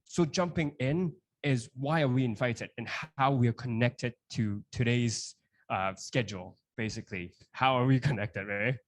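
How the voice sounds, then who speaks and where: A man in his twenties co-hosting a podcast at a live university event, upbeat and quick to laugh and finish his partner's sentences. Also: medium pitch. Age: 20s. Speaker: podcast co-host. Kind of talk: casual chat at a live event.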